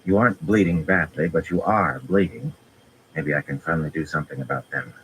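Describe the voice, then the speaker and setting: A man's voice: extremely low pitch, lively intonation, brisk, a bit bright, a little hiss or hum, low-quality recording. A man voicing a fierce, stubborn woman healer who talks brusquely, almost growling, in an audiobook. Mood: exasperated. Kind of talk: audiobook character voice.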